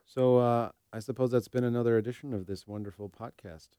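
A man's voice: medium pitch, fairly even intonation, fairly quick, mellow, clear, resonant tone, dry recording, clean studio sound. A man co-hosting a podcast in a casual, informal way, thinking aloud with some hesitation. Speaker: podcast co-host. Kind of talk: casual chat.

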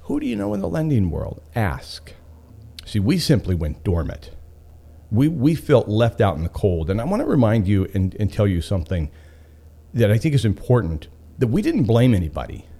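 Male motivational host: mellow tone, highly animated pitch, emphatic storyteller who leans on repetition. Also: normal speed, creaky, breathy edge. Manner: emphatic, repeating phrases for effect. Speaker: podcast host and author.

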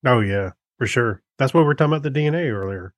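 Halting man chatting casually, dry sound. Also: mid-range voice, lively intonation, dry recording. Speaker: podcast co-host. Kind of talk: casual chat reviewing TV shows.

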